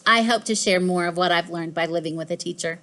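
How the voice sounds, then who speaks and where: A woman voicing a boastful, fussy pet house cat in a children's storybook, with expressive, deliberate delivery. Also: fairly low pitch, close to an everyday voice. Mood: friendly sign-off. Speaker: author reading own children's book. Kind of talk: scripted children's storybook narration.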